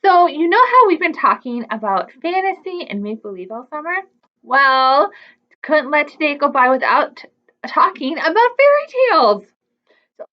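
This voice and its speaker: A woman's voice: high-pitched, highs cut off. A woman hosting a children's storytime, reading aloud expressively and chattily putting questions to young listeners. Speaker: children's library storytime host.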